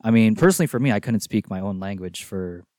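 A young man from Singapore, raised speaking Filipino first, talks at length as a podcast guest in an easygoing, chatty way. His voice sits on the low side. It is recorded close and dry, with no room sound. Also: fast, no echo. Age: young adult. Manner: relaxed, talkative, peppers speech with "like". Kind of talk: casual podcast conversation.

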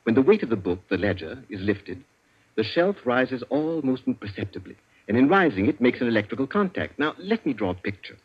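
A smug, husky captive inventor. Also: male voice, medium pitch, big pitch swings. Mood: sly. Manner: drawling, mocking, unhurried. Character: smug, urbane male inventor held captive. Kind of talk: 1940s radio acting. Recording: dull lo-fi vintage radio recording.

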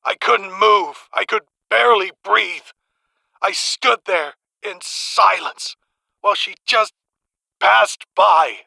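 Casting: male actor as agitated barfly phoning late-night radio, very bright gravelly voice, slangy, halting, audio drama. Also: high-pitched, no echo, full character voice. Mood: agitated, baffled. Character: rattled, crude barfly calling a radio show.

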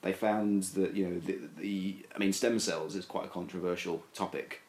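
A man's voice: sits low, fairly even intonation, moderate pace, darkish tone, roomy sound. A man talking casually as a podcast guest, hedging and rambling with many fillers and restarts. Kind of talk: casual discussion.

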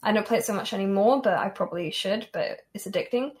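An eighteen-year-old woman chatting casually as a guest on a stream, relaxed and self-deprecating. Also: medium pitch, fast talker. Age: young adult.

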